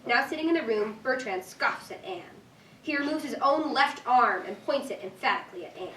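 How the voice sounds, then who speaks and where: A woman's voice: fairly high pitch, expressive, a little fast, sharp tone. A woman reading stage directions as scripted narration at a staged reading. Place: room with live reading. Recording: echoey room, distant mic, faint noise.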